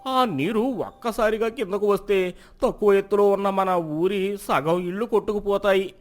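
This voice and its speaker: A man's voice: sits high, moderate pace, voice pushed up, close to an everyday voice. A man playing an alarmed, humble farmer, pleading urgently and breathlessly in a folk tale. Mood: panicked. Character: alarmed, humble male farmer reporting disaster. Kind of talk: dramatized Telugu folk moral tale. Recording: music behind the voice.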